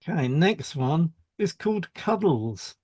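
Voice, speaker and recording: A man's voice: a little high, big pitch swings, a little slow, a little mellow, husky, dry recording, clean studio sound. A man co-hosting a podcast, reading explanatory script and introducing topics with short conversational links. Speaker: podcast co-host, hypnotherapist.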